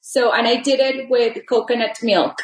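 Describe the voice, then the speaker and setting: A woman's voice: a little high, fairly even intonation, moderate pace, reverberant, low-quality recording. A middle-aged woman whose first language is Spanish, a rehabilitation teacher giving a friendly, chatty cooking demonstration from her home kitchen, with many fillers and restarts. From Texas border region.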